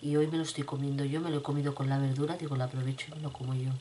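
A middle-aged woman talks to her webcam at home in a low voice. She has a cold and her throat is rough. Her chat is loose and repetitive, with a faint hum of room noise behind her. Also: level tone, racing, mellow. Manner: chatty, repeats herself, addresses viewers fondly. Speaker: vlogger. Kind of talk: casual chat to viewers. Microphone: webcam at desk.

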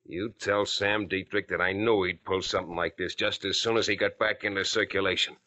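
An actor playing a cocky, menacing American crook in an old-time radio crime drama, talking in tough slang. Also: male voice, deep voice, fairly even intonation, fairly quick, bright, forceful tone, muffled recording. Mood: commanding.

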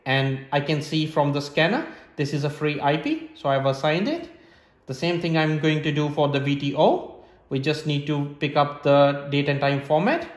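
A man's voice: on the high side, animated, moderate pace. A man in his thirties giving step-by-step instructional narration in a plain, methodical way, in short statements. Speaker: presenter of a tech setup tutorial. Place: small hard-floored room indoors. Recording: roomy, echoing indoor sound.

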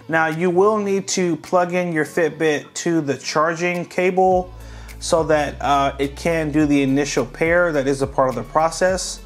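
A man hosting a tech tutorial, calmly narrating each step of a device reset in a casual, friendly way. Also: on the high side. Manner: friendly, relaxed, walks viewers through each step.

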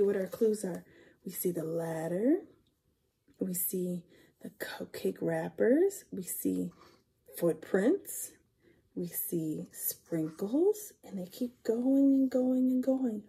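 A woman's voice: fairly high pitch, mellow, slightly rough. A woman in her thirties reading a picture book aloud to young children, expressively, with questions and counting along. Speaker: storytime reader.

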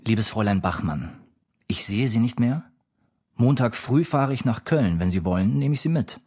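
An actor reading a letter as an admiring young male composer, in a husky voice. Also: mid-range voice, animated, normal speed, a little mellow. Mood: earnest, admiring.

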